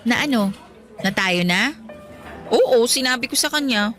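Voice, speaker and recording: A woman's voice: a little high, expressive, a little slow, a bit bright, faint music behind. A woman playing a playful, caring young Filipina girlfriend in a radio drama. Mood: tender, concerned.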